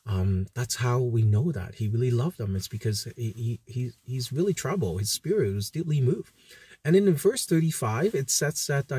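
A man in his thirties giving an informal sermon as a pastor, speaking haltingly with frequent hesitations and repeated words. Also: mid-range voice, a little mellow, slightly rough, dry recording, clean studio sound. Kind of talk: informal recorded sermon with Bible teaching. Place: inside a parked van at night.

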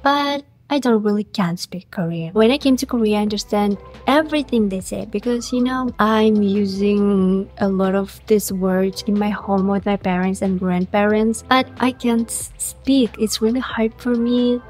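A young woman from Kazakhstan, a Russian speaker, chatting in English through a casual question-and-answer vlog with a clip-on mic in a high-rise room. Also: moderate pace, hardly any pauses. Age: young adult. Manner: chatty, animated, talks with her hands. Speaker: influencer. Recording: faint music underneath.

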